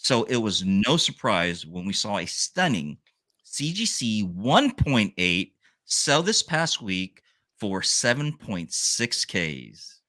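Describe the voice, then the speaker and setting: A man's voice: lowish voice, very animated, takes it slowly, pushed and bright, no echo, studio quality. A man co-hosting a comic book podcast, reading a scripted sales rundown and joking with his co-hosts. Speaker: podcast co-host.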